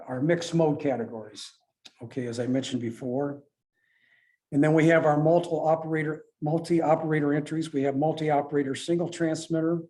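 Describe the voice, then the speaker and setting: A man presents club rules in an informal, explanatory way. His voice is mellow and a touch high for a man. He talks haltingly, often repeating and correcting himself. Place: club meeting room with a few people present.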